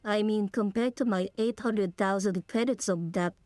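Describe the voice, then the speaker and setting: A woman's voice: medium pitch, moderate pace, a little mellow, dry recording, faint music behind. A woman giving casual gameplay commentary and reading dialogue aloud as she plays. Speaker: gamer playing through a story quest.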